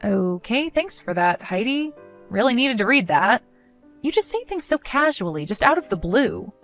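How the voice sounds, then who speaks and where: A woman's voice: on the high side, very animated, normal speed, a bit bright, faint music behind. Woman acting a dry, level-headed young woman. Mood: dry annoyance. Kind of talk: dramatized text-message story voice acting.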